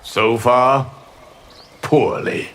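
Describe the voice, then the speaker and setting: An actor playing a calm, moralizing, dryly stern martial arts god in a video game. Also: male voice, medium pitch, very slow, noisy background.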